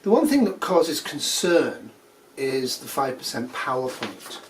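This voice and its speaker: A man's voice: medium pitch, very animated, a little slow. A man in his fifties lays out course rules in a blunt, dry way, tossing in sarcastic asides. He sounds a little far from the microphone. Faint noise hums behind him. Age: 50s. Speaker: nursing instructor. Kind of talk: recorded course announcement.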